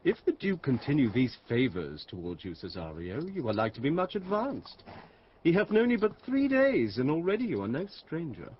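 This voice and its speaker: A man's voice: a little high, huge pitch swings, moderate pace, muffled recording, slight background noise. An actor playing a friendly, assured male courtier in a Shakespearean radio drama.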